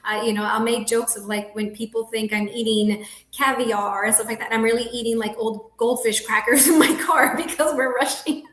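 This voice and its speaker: A woman's voice: a little high, steady tone, moderate pace. Colombian woman in her 30s, spanish is her first language, earnest, lots of restarts, sounds like an echoey room. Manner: earnest, laughs often, restarts sentences. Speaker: guest, realtor. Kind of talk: casual interview talk.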